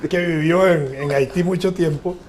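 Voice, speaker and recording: An older man explains things in a steady, conversational way. His voice sits a little high for a man. Faint noise runs underneath. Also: moderate pace. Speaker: panelist. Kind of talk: casual chat.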